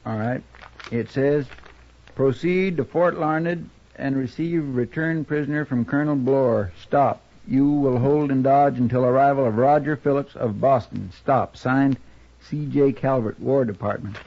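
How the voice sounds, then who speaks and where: Male actor as folksy cowboy helper, creaky mid-pitched voice, eager, deferential, 1950s radio drama, dull recording. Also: unhurried, close to an everyday voice. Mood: earnest, curious. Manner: folksy, hesitant, respectful. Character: eager, anxious, deferential male sidekick of a western marshal. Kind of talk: 1950s radio western acting. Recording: muffled, noisy, crackly old radio recording.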